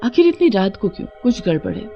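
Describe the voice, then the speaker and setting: A woman's voice: mid-range voice, sing-song, a little fast, gravelly, mellow, lo-fi sound. Woman as cartoon village mother, anxious, protective, raised questioning voice, background music. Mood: suspicious.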